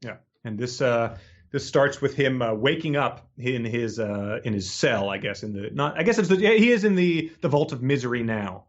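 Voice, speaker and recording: A man co-hosting a podcast in casual book discussion, with halting, repeating phrasing and a slightly lo-fi recording. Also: mid-range voice, expressive. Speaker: podcast co-host. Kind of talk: casual book discussion with read-aloud passages.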